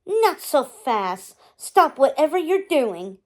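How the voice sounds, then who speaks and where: A woman's voice: high-pitched, big pitch swings, slow pace, a little mellow, heavily acted. A woman voicing a cruel, self-satisfied stepmother in a storybook, with a taunting manner. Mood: smug, menacing. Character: scheming cruel stepmother, middle-aged woman, mocking.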